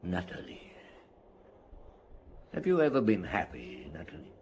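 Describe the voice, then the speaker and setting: A calm, shrewd middle-aged detective in a radio drama. His voice sits low and moves freely up and down as he probes with measured questions and thoughtful murmurs. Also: a little hiss or hum, full character voice. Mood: gentle. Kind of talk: naturalistic radio drama acting.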